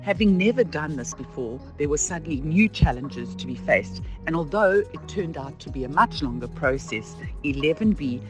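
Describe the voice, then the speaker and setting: A man's voice: a little high, animated, moderate pace, few pauses, music in the background, low-quality recording. A man giving a scripted explanatory narration as a school presenter.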